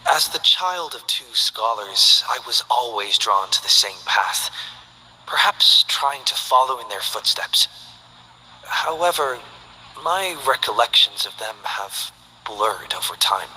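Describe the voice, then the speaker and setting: A calm formal scientist dude, husky voice, lots of reverb. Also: male voice, medium pitch, full character voice. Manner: measured and formal. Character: composed, scholarly man, researcher.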